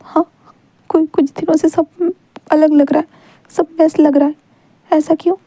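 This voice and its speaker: A woman's voice: high voice, level tone, mellow, no room sound, voice pushed up. A woman voicing a jealous, heartbroken young man who pleads through tears and stammers. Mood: tearful. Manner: crying, pleading, halting with stammers.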